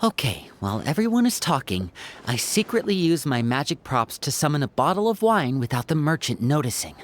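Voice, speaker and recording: A woman's voice: low voice, big pitch swings, a little fast, a little hiss or hum. An actress voicing a composed young female adventurer in a game, speaking politely and formally.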